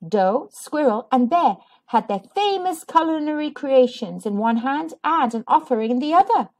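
A woman reads to young children in a high voice. Her pitch swings widely, and she leans into key words with a sing-song, drawn-out emphasis. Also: animated, a little mellow. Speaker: children's storybook reader, channel host. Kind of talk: expressive read-aloud storytelling for young children.

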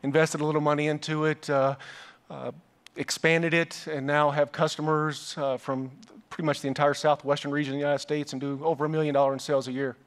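Formal man, higher flat voice. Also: fairly quick, smooth. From Chicago, raised near Lexington, Kentucky. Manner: formal, respectful, steady. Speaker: business co-owner, Marine veteran. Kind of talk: formal remarks at a roundtable. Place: large hall stage, panel table.

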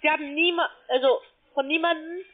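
High-pitched woman on the phone. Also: steady tone, fairly quick. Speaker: call centre sales agent. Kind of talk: unscripted sales phone call. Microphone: telephone. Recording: muffled tinny phone line.

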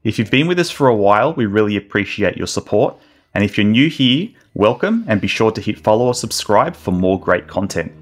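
A man's voice: on the low side, expressive, fairly quick. A young man hosting a podcast, opening the show with an upbeat, announcer-style delivery into a headset microphone. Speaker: podcast host. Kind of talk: scripted podcast intro.

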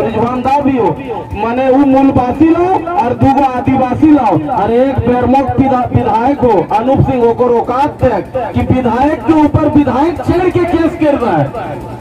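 A young man with a Jharkhand regional Hindi accent gives a fiery political rally speech into a handheld microphone outdoors under a tent. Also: very high voice. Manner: fiery, chant-leading, rhetorical. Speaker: rally speaker. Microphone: handheld microphone over loudspeakers, filmed by phone. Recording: distant, muffled PA sound with loud crowd.

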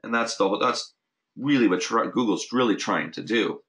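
A man with a notably low voice hosts a recorded webinar. He talks loosely and off the cuff, restarting sentences and leaning on fillers as he explains. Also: moderate pace, fairly bright tone. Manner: casual, many restarts and "you know" fillers. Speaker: host of a weekly marketing update. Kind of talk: unscripted webinar talk.

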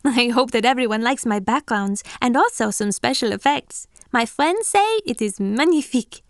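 A woman's voice: a little high, expressive, moderate pace, dry recording, studio quality. A woman playing an artistic, sweetly enthusiastic teenage girl in a video game. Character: warm, artistic, cosmopolitan teenage girl painter. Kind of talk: scripted game character lines.

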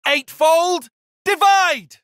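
A man's voice: extremely high pitch, extremely slow, fairly bright tone, no room sound, clean studio sound, full character voice. An actor voicing an earnest, calculating and proud young male tactician in a video game, speaking formally and precisely with intensity. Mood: battle shouting. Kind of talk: video game battle voice lines.

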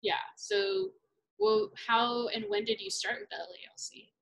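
A woman's voice: fairly high pitch, sing-song, moderate pace, creaky, a bit bright, poor audio. A young woman interviewing a student over a webcam video call from a small room at home, asking friendly, rambling questions. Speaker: interviewer for language school. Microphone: laptop webcam on video call.

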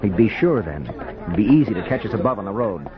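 An American actor playing a tense, guarded husband on the run, in 1950s radio drama style. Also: male voice, on the low side, sing-song, fast, a crowd in the background. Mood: urgent, scheming. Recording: dull old radio recording, music behind.

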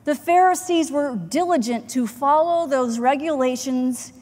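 Slow, earnest woman pastor preaching. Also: high-pitched, a little mellow. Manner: measured, earnest preaching with deliberate pauses. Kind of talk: sermon. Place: church sanctuary, in front of the chancel. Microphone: pulpit microphone, far camera. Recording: long church hall reverb.

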